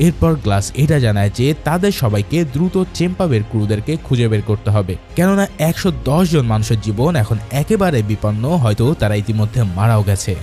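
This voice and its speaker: A man's voice: medium pitch, big pitch swings, a little fast, few pauses, mellow. A man narrating a film's story as a scripted, dramatic recap. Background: background music.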